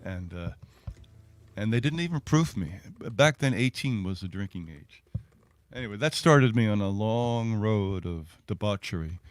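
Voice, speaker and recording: A middle-aged or older man, a musician, chatting casually to an online audience in a halting, rambling, reminiscing way. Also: fairly low pitch, expressive, no room sound, faint music behind. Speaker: singer and musician hosting a livestreamed set. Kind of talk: casual between-song chat to an online audience.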